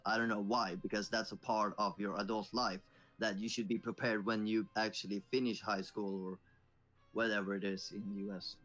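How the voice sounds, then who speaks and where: A young man from Sweden, co-hosting a casual podcast chat and pausing to search for English words. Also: lowish voice, flat delivery, a bit bright, faint music behind, low-quality recording. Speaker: podcast co-host.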